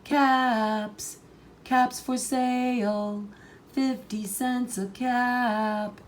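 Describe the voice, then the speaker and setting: A woman voicing a storybook peddler man who calls his wares in loud sing-song and scolds crossly. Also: fairly high pitch, fairly even intonation, drawn-out words, a little hiss or hum, pitched above the performer's own voice. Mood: calling out. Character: male cap peddler, calling his wares, growing cross.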